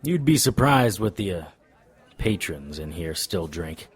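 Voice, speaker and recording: A man's voice: low-pitched, sing-song, a little slow, breathy edge, exaggerated voice. A man playing a wry, world-weary paranormal investigator in an audio drama, narrating and talking with dry wisecracks. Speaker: lead character and narrator.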